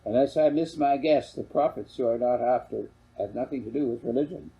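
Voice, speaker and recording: A man voicing a sharp-witted, principled farm mother with a dry, pointed manner in a storybook. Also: mid-range voice, fairly quick, gravelly, slightly rough, muffled recording. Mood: skeptical.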